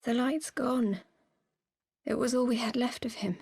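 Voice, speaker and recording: A woman acting a grieving woman in her twenties in a video game cutscene, speaking in an earnest, halting confession. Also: fairly high pitch, fairly even intonation, gravelly, darkish tone, husky, no echo, clean studio sound, exaggerated voice. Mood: mournful, resigned. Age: 20s. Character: grieving young woman revealing her painful past.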